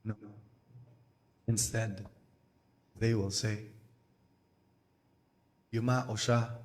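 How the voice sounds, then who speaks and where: A man from the Philippines with a Filipino accent, performing spoken word poetry live in a small cafe, with slow, deliberate phrasing and pauses. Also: fairly low pitch, flat delivery, darkish tone.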